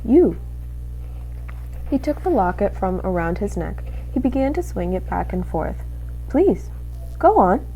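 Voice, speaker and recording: A young woman reads a storybook aloud as an expressive narrator. Her tone is mellow and her pitch moves up and down a great deal, with faint noise in the background. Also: normal speed, creaky, few pauses, dull audio. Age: young adult. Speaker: student reading a storybook aloud.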